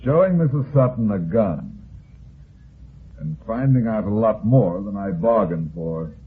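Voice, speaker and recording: An actor playing a tough, inquisitive private detective, dry and clipped, in an old-time radio drama. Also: male voice, lowish voice, lively intonation, unhurried. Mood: cool, businesslike. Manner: clipped, dry, pressing questions. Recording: noisy narrow-band vintage radio recording.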